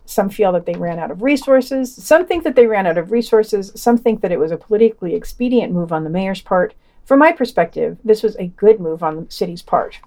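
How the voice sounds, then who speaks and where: A woman's voice: medium pitch, warm tone. A woman podcast host reading a scripted news roundup, including quoted excerpts.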